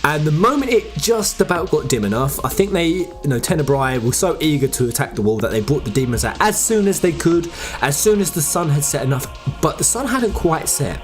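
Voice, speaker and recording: A man's voice: on the high side, lively intonation, fairly quick, faint music behind. A man giving enthusiastic, conversational game commentary, speculating with emphatic delivery. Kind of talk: enthusiastic fan theory commentary.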